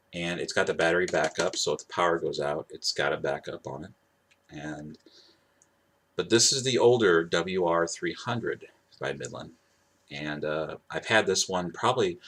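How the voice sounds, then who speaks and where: A man with a very deep voice talks casually and off the cuff, as if showing off gear at home. He hesitates, doubles back and repeats himself, with some noise behind him. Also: fairly bright tone. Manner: hesitant, rambling, self-correcting and repetitive. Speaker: vlogger showing his weather radios. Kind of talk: casual unscripted show-and-tell. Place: indoors at home. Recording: clearly noisy home recording.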